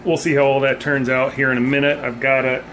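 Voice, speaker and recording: A man's voice: mid-range voice, little pitch movement, a little fast, fairly bright tone, a little hiss or hum. A man in his thirties casually demonstrating a homemade gadget in his kitchen, thinking aloud with plenty of ums and uhs. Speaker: bowling hobbyist vlogger. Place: home kitchen, indoors. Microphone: phone held at arm's length.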